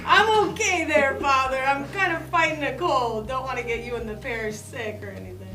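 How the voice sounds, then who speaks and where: Comedy table read: female performer as wisecracking male pal, high voice, distant mic with music behind. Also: normal speed, reverberant, natural voice. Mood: awkward, polite. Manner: wisecracking, loose. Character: teasing middle-aged male comedy leading man, sarcastic pal.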